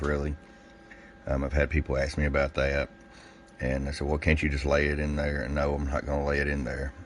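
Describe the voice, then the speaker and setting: A man with a very deep, low voice talks through a technical product demo in an informal, folksy way. He sounds like a hands-on technician and seller explaining his work. Some background noise sits behind the voice. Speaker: radio technician and seller presenting a product video. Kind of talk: casual workbench product demonstration. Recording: clear background noise, room ambience.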